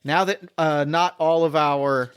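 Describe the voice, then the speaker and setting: A man's voice: fairly high pitch, steady tone, unhurried, clear, resonant tone. A man running a tabletop game as host, chatting casually and thinking aloud with lots of fillers and restarts.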